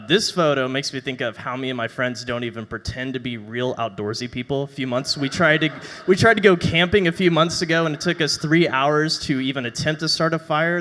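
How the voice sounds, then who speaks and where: A man's voice: a little high, pushed and bright, long reverb tail. A young man rambling nervously on stage, with lots of uhs and likes. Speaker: presenter at a live talk night.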